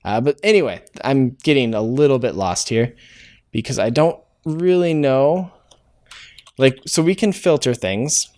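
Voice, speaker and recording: A man walks through code in an easygoing, unscripted way, pausing on filler sounds and correcting himself as he goes. His voice sits at an ordinary middle pitch for a man. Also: darkish tone. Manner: thinks aloud, hesitant, self-correcting with many "uh"s. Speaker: programming tutorial host. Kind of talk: casual live-coding walkthrough.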